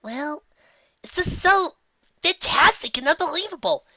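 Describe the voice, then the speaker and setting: A narrator voicing an excitable, earnest young female unicorn pupil in a fantasy story, with flustered, exclaiming delivery. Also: high voice, measured pace, no room sound, highs cut off, voice pushed up, heavily acted. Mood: indignant.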